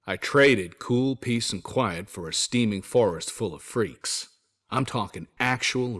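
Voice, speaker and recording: A male actor playing a stranded 1940s American soldier who narrates letters home in a wry, folksy, wisecracking way. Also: fairly low pitch. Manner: folksy, wisecracking, old-fashioned slang. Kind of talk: scripted first-person letter narration, in character.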